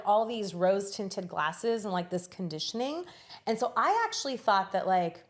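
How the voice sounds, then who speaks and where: A woman in a casual podcast chat, reflecting on her own experiences. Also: medium pitch. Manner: reflective, measured storytelling.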